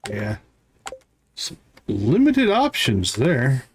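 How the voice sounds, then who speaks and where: A man's voice: medium pitch, wildly swooping pitch, very slow, slight background noise. A man giving casual live gameplay commentary as a streamer, thinking aloud to himself in short fragments. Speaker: game streamer.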